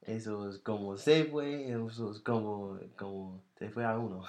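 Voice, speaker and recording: Man, low voice, slow, halting, restarts phrases, casual interview. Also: fairly even intonation, darkish tone. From United States. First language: English. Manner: halting, searching for words with many restarts. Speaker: podcast co-host.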